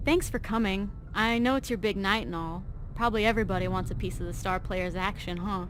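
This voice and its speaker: A woman's voice: mid-range voice, a little fast, background noise, lightly acted. A young woman playing an anxious, driven teenage vault girl in video game dialogue, chatty, earnest and slangy. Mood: friendly, tentative.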